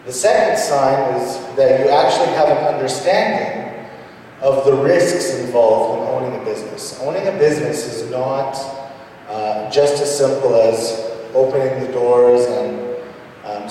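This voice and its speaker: Mellow man presenting in an echoing hall. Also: mid-range voice, unhurried. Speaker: presenter, small business owner. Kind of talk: introductory business seminar talk. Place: large reverberant meeting hall. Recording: echoey hall, distant mic, noisy ambience.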